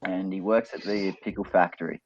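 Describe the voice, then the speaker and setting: Male podcast guest, lower pitch, halting casual delivery, dull dry recording. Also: fairly even intonation. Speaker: band member, podcast guest. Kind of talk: casual joke-telling and chat.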